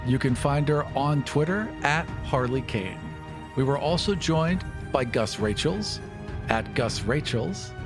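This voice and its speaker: A man hosting a tabletop game podcast, narrating and announcing in a casual style. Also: mid-range voice. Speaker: podcast host and player.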